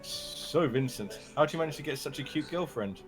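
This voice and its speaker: A man's voice: fairly high pitch, animated, brisk. A man streaming a visual novel, reading character dialogue casually with laid-back joking asides. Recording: clear game music behind.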